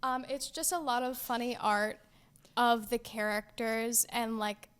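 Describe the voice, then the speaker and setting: A woman's voice: fairly high pitch, fairly even intonation, unhurried, a bit bright, smooth. A teenage girl co-hosting a podcast in casual chat, laughing often and hesitating with frequent ums. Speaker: podcast co-host.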